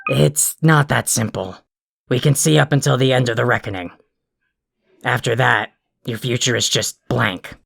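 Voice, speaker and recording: An actor performs a cranky alien troll for an audio drama. The voice is gravelly and full of creak. The delivery is bossy, pompous and scathing, like someone forever fed up. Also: mid-range voice, moderate pace, a bit bright, faint music behind. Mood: bossy, grim. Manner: ranting, insulting, pompously wordy. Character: irritable, foul-mouthed, bossy alien troll, self-important.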